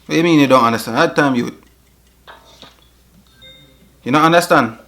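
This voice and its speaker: A young man putting on a Jamaican accent as a boastful, playful young man, speaking loud, swaggering patois. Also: medium pitch, moderate pace, lightly acted. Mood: loud, friendly. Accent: put-on Jamaican. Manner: loud, swaggering patois, keeps asking "you hear me".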